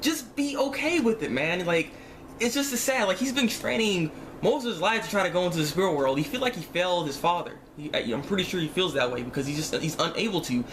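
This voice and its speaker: Higher-voiced man chatting through a review. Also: animated, fast, fairly bright tone, slight background noise, poor audio. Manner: repetitive, slangy, acts out remarks in reaction. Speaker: reviewer of an animated series episode. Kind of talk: casual spoken review, informal chat to viewers.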